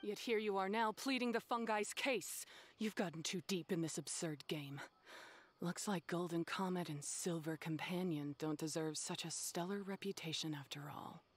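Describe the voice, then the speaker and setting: Stern young game rival played by a woman: lowish female voice, resolute, formal, coolly disdainful. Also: moderate pace, a little hiss or hum, natural voice. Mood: cold, resolute. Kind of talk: video game voice acting.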